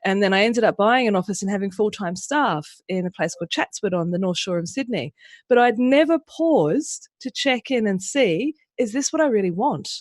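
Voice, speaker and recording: A woman's voice: medium pitch, expressive, normal speed, no echo. Fifties woman from New Zealand, earnest interview guest, dry voice. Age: 50s. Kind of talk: radio interview.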